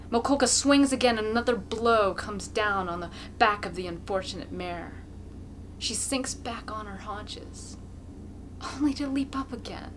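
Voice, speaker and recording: A woman's voice: fairly high pitch, a little hiss or hum, poor audio. A woman amateur reader giving an intense, theatrical narration of a classic novel.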